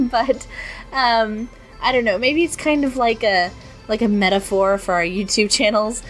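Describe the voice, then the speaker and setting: A young woman with a cold, her voice raspy and sniffly, rambling casually and self-deprecatingly over her art video with many ums and restarts. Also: a little high, measured pace, faint music behind. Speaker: artist narrating her speedpaint.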